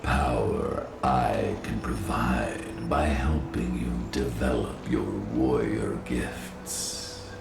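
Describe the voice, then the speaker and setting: A man playing an ancient nature spirit in a video game, a solemn, benevolent guide speaking formally and deliberately in a gravelly, echoing voice. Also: full character voice. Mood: solemn reassurance. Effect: deep echoing reverb. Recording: echoing, distant voice under music.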